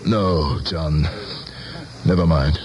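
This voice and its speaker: A man's voice: a bass voice, very slow, a little mellow, muffled recording, heavily acted. An actor playing a courtly, self-assured middle-aged politician in a radio drama, courteous and rhetorical in his speech. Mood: magnanimous, assured. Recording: old radio drama broadcast, music behind.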